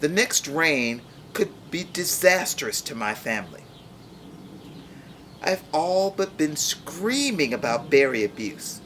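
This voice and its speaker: Woman acting a dramatic, indignant berry, pitch leaping. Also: very animated, slow, fairly bright tone, a little hiss or hum, heavily acted. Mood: urgent, aggrieved. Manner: indignant, pleading. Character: aggrieved, dramatic berry demanding action at farm meeting.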